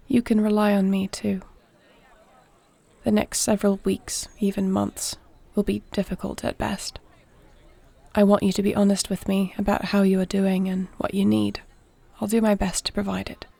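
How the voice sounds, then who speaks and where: A woman playing a gentle, dignified warrior princess in an intimate fantasy roleplay, speaking softly with formal, measured, tender diction. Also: mid-range voice, gravelly, slightly rough. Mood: warm, sincere, then steady, caring.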